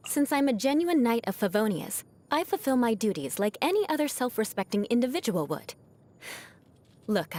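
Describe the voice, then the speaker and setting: A woman's voice: fairly high pitch, dark tone. A woman voicing a proud young aristocratic knight in a video game, with a haughty, formal, teasing manner and a vengeful streak that hides a caring side. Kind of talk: scripted video game character voice lines.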